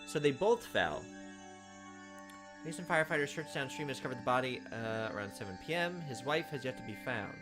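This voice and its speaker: A man's voice: medium pitch, animated, fairly quick. A man reading game text aloud as a let's play host, adding informal, playful commentary. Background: game music.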